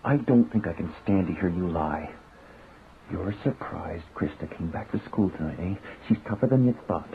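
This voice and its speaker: A man's voice: deep voice, animated, normal speed. A man playing a brooding, protective cab driver in a naturalistic radio drama, plain-spoken and controlled, with a Canadian accent. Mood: seething. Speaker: lead actor and narrator. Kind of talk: naturalistic radio drama with first-person narration. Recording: tinny, band-limited old radio recording, faint noise.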